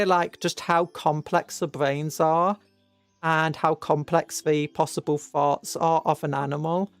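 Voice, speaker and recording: A British livestreamer with a mid-pitched voice talks casually to chat. The delivery is rambling and musing, with stop-start self-corrections. The sound is close and dry, with faint music underneath. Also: no echo. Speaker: VTuber livestreamer. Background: background music.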